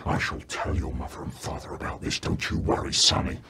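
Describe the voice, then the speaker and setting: A man's voice: fairly quick, background noise, close to an everyday voice. A man voicing an indignant downstairs neighbour in a story, scolding and threatening. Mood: menacing.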